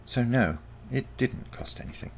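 A confident teenage granddaughter from a storybook future, acted out by a male reader. The delivery is lively and swooping with heavy creak, yet matter-of-fact and unconcerned. There is clear noise behind it. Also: medium pitch, normal speed, voice pushed up. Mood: earnest. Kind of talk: storybook character dialogue.

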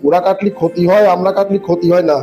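A man's voice: high voice, level tone, quick pace, dark tone, highs cut off, soft background music, lightly acted. A man with a northern Bangladeshi accent voicing a corrupt, bossy government official in a comic cartoon, explaining things in a smug, patronising way.

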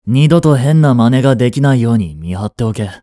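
A man's voice: mid-range voice, animated, brisk, dark tone, dry recording, studio quality, lightly acted. A man playing a cold, haughty young prince in a romantic audio drama, curt and imperious but secretly protective. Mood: subdued, brooding.

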